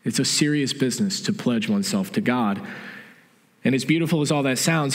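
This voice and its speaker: A middle-aged man preaching a sermon in a church sanctuary, explaining calmly and restating his key points. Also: medium pitch. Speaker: pastor. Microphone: stage mic from a far camera. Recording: long church reverb.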